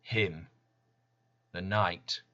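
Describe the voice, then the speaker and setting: A man reading his poem as dramatic spoken word, deliberate and intense, with weighty pauses. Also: sits low, steady tone, pushed and bright, muffled recording. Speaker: poet reading own work.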